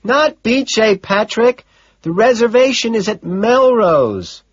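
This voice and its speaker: A man voicing a soft-voiced, flustered young woman secretary who keeps apologizing and repeating herself. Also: high voice, animated, takes it slowly, dry recording, lo-fi sound, pitched above the performer's own voice, exaggerated voice. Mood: worried. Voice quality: soft, breathy.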